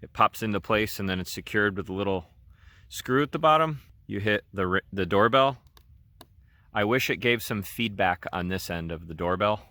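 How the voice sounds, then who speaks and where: A man's voice: lowish voice, lively intonation, normal speed, pushed and bright. A man hosting an informal product review, talking in a casual, conversational way.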